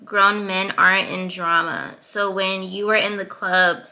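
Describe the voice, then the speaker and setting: Sweet-voiced young woman, gravelly edge. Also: little pitch movement, unhurried, pushed and bright. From Atlanta, US. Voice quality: high-pitched sweet voice. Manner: assertive, chatty, laughs between points. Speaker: YouTuber. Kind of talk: casual advice vlog.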